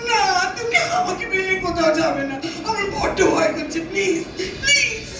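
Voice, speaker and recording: Casting: female actor as scared young wife, very high voice, urgent pleading, horror radio play under loud music. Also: lively intonation, normal speed, very echoey, far from the mic. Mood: fearful. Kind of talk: Bengali horror audio drama acting.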